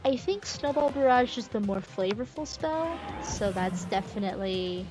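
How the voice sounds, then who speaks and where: Woman streamer musing over loud game music. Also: fairly high pitch, lively intonation, moderate pace. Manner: musing, thinking aloud. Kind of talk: casual gameplay commentary. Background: video game music.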